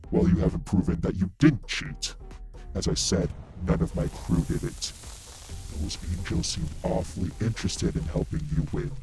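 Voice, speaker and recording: A man's voice: deep voice, sing-song, moderate pace, music in the background. A man playing a brash, menacing demon biker who taunts, threatens and swears in an audio roleplay. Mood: mocking, threatening. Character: brash, menacing male demon biker, sarcastic and foul-mouthed.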